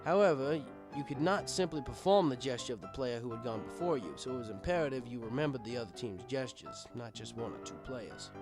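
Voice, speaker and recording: A man's voice: medium pitch, expressive, brisk, hardly any pauses, over music. A man reading a scripted first-person story as an audiobook narrator, with dramatic emphasis. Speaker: audiobook reader.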